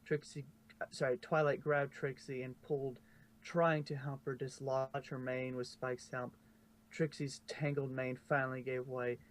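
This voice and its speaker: A man reading a story aloud in an informal way, stumbling and correcting himself now and then. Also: mid-range voice. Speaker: fanfiction narrator. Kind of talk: storybook narration read aloud. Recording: noisy home recording.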